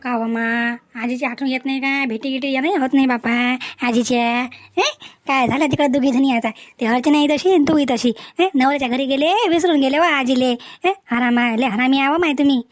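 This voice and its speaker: A woman's voice: high voice, normal speed, few pauses, lightly acted. A woman voicing a nagging but affectionate old village grandmother in a cartoon, with a Varhadi Marathi accent. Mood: teasing, scolding. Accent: Maharashtrian, Varhadi Marathi. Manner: scolding, teasing, advising. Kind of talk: cartoon family drama dialogue.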